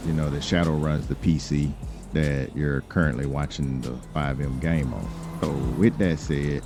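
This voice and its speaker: An American man giving casual, chatty gameplay commentary in a slangy, laid-back, rambling way. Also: a bass voice. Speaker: gaming YouTuber hosting a roleplay video.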